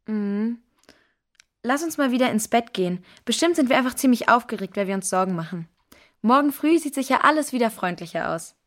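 A woman playing a calm, reassuring girl in a children's audio drama, with a gentle manner. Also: on the high side, a little fast. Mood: soothing.

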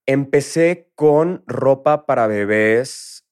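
A man in his thirties from Mexico with a Mexico City accent, a guest in a casual interview, talking in a relaxed, rambling way with many fillers. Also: mid-range voice, slow, clear, resonant tone. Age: 30s. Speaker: guest entrepreneur.

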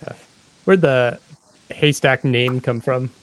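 A man's voice: mid-range voice, takes it slowly. Informal male podcast interviewer, slightly noisy line. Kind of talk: casual interview chat.

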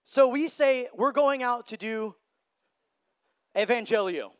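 A man in his thirties preaching a sermon with energy, joking with the crowd and shouting for emphasis. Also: extremely high pitch, slow pace. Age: 30s.

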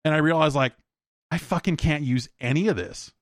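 A man's voice: a little high, brisk, dry recording, studio quality. A man hosting a podcast, rambling through anecdotes in a blunt, informal, sweary way. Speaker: podcast host. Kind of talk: casual rambling solo talk with anecdotes.

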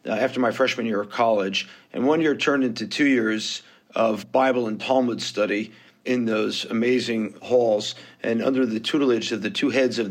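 Candid New York man in his fifties. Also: mid-range voice. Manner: candid, self-deprecating storytelling. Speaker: guest investor. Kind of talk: podcast interview.

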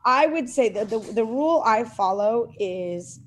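A woman's voice: a little high, lively intonation, a little slow. A middle-aged woman talking casually as an interview guest, earnest and hesitant, with many fillers and restarts. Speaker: podcast guest, CrossFit athlete and parent.

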